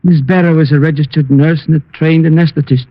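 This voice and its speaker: A man's voice: on the high side, steady tone, quick pace, lightly acted. A man playing a proper, slightly flustered doctor with precise, formal speech in old radio style. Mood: explanatory. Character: proper, professional male doctor, mildly flustered. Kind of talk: old-time radio drama acting. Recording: muffled old radio recording.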